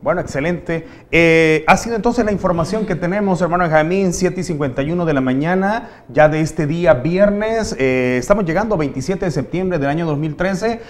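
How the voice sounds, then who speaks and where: A man's voice: fairly high pitch, brisk, hardly any pauses. A middle-aged man anchoring a live, conversational newscast from a TV studio. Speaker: news anchor.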